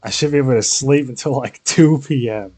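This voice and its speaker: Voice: mid-range voice, fairly quick, warm tone, no echo, faint music behind. A streamer chatting casually while playing a game, rambling with sweary, jokey asides. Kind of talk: casual livestream chat and game reactions.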